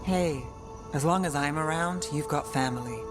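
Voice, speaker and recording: A woman acting a wry companion, low voice, music. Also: moderate pace, close to an everyday voice. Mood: loyal, reassuring. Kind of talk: video game dialogue acting. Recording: clear game music behind the voice. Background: game music.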